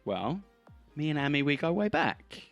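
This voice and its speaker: A man's voice: a little high, big pitch swings, normal speed, pitched above the performer's own voice. A man playing a preachy, fervent pirate radio rebel. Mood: scheming. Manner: rhetorical, preachy speechmaking. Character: fervent drugged-up pirate radio host professor, male rebel. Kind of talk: cyberpunk audio drama role-play. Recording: music behind the voice.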